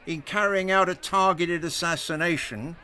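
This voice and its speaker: Man acting high-pitched, stern parliamentary Speaker. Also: moderate pace, pushed and bright. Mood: solemn, stern. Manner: formal, declamatory, pompous. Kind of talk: radio drama oratory.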